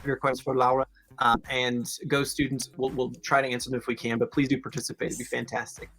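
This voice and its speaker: A man's voice: mid-range voice, level tone, fast, a bit bright, soft background music. A man hosting an education podcast, reading a scripted intro and then chatting with enthusiasm and frequent restarts. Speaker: podcast host.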